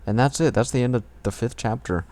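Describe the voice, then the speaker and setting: A man's voice: medium pitch, a little fast, warm tone, slight background noise. A man hosting a mocking fan fiction read-aloud, with casual, irreverent, profane asides.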